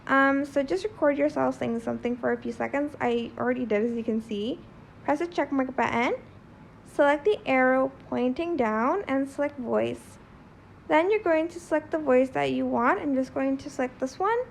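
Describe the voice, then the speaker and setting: High-pitched woman with a creaky edge. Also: moderate pace. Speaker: tutorial host. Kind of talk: casual how-to tutorial. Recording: faint background noise.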